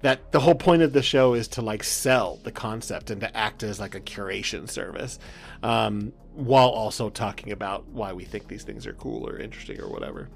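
A man's voice: on the low side, brisk, gravelly. A man hosting a podcast in casual, informal conversation, sometimes reading text aloud. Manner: informal, swearing, often laughing. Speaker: podcast host. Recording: background music under speech. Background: music.

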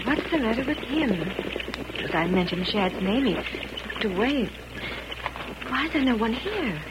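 An actress playing an anxious, hesitant young bride from back east in an old radio western. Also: female voice, fairly high pitch, expressive, a little slow. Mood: worried, bewildered. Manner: hesitant, stammering, breathless questions. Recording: noisy old radio drama recording.

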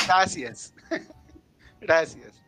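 A man's voice: sits high, animated, extremely slow, pushed and bright, husky, soft background music, low-quality recording. A man with a Colombian accent, a guest author explaining his ideas in a didactic interview answer.

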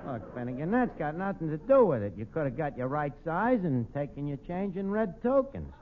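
Streetwise New wisecracker running a tavern, pompous and sardonic, on a noisy, tinny vintage radio comedy recording. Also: male voice, a little high, sing-song, brisk. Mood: wry, teasing. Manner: sardonic, streetwise, malapropism-prone. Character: wisecracking, self-important tavern manager with mangled grammar. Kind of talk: 1940s radio comedy acting.

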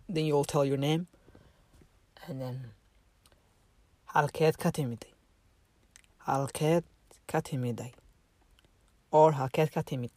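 A man teaching a casual online language lesson, halting with many fillers and restarts and repeating each phrase slowly for learners. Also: mid-range voice, dry recording.

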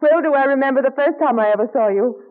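An actress playing a young colonial wife, fond and teasing, in an old-time radio drama. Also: female voice, high-pitched, fast, close to an everyday voice. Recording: muffled old radio, long reverb.

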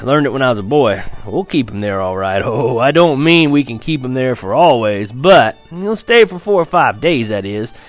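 A man voicing a cheerful, booming old grandfather in a spoken story, loud and hearty. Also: animated, normal speed, few pauses, natural voice.

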